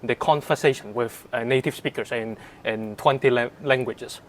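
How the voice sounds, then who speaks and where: A man's voice: mid-range voice, steady tone, normal speed, a crowd in the background. A man in his twenties from Hong Kong with a Hong Kong accent, Cantonese native, answering interview questions hesitantly with many fillers and restarts. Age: 20s. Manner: laughs easily. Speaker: guest. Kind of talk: casual interview.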